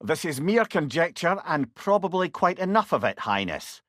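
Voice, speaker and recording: An actor voicing a clever, chatty old companion in a video game, with a Scottish accent and a wry, eloquent way of talking. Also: male voice, a little high, sing-song, normal speed, a bit bright, no echo, studio quality. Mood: thoughtful, explaining. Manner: wordy, eloquent, wry storyteller. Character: clever, chatty, sardonic old male severed head companion. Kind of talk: video game character dialogue.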